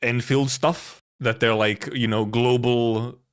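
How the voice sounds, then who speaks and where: A man live streaming in casual chat, rambling and haltingly thinking aloud, with some offhand swearing. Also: mid-range voice, steady tone, clear, resonant tone. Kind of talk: casual chat, reacting to viewers.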